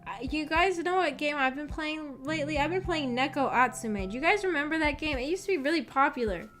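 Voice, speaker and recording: A woman's voice: high-pitched, animated, brisk. A young adult woman chatting casually on a livestream in a hesitant, rambling, self-effacing way. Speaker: streamer chatting with live chat. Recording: background music under the voice. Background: background music.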